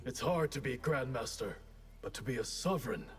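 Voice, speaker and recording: An actor playing a dutiful, burdened ninja grandmaster in a video game, formal and solemn. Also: male voice, medium pitch, lively intonation, moderate pace, heavy background noise, natural voice. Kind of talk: video game character dialogue. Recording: music behind the dialogue.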